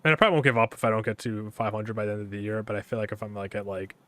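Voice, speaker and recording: A young man streaming a game, talking in a casual, rambling way with a monotone delivery, full of fillers and restarts. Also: lowish voice, very fast. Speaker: gaming streamer.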